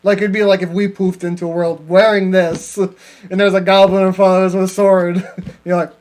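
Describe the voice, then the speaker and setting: A man's voice: high-pitched, little pitch movement, moderate pace, a little mellow. A man co-hosting a podcast, chatting casually in a joking, rambling, profane way. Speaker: podcast co-host. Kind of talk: casual comedic chat among friends.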